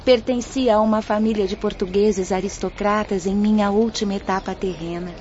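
Mulher fazendo uma jovem espírito gentil, som abafado. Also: female voice, mid-range voice, fairly even intonation, moderate pace, a little mellow, natural voice. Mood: serene, wistful. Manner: formal, courteous. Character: gracious, gentle fifteen-year-old girl spirit, aristocratic and serene. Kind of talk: radio drama acting. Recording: dull sound with faint background noise.

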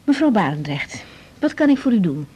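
Soft, husky young Dutch woman doctor. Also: medium pitch, very animated, moderate pace, low-quality recording. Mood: attentive, reassuring. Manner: gentle, attentive, tentative. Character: young female doctor, attentive and patient. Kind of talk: radio drama acting.

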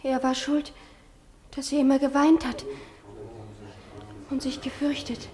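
A defiant young girl on the witness stand in an old radio drama. The voice is very high and childlike, stubborn and vehement. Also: little pitch movement, a little slow, warm tone. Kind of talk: 1950s German radio drama acting.

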